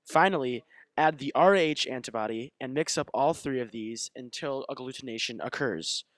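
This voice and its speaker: A man's voice: medium pitch, normal speed, fairly bright tone, no echo. A young man reading scripted narration for a student crime dramatization.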